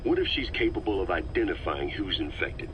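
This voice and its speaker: Deep, measured veteran intelligence officer. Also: male voice, lively intonation. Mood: firm, reasoning. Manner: measured, deliberate, analytical. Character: shrewd, level-headed veteran male intelligence officer. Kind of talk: dramatic video game voice acting. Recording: muffled, slightly noisy cassette tape.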